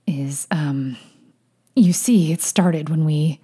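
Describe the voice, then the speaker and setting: A woman narrator puts on a dignified male master for a fan fiction audiobook. The voice sits low and dry. He sounds evasive and embarrassed, halting when cornered. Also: warm tone, slightly rough. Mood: cornered, awkward.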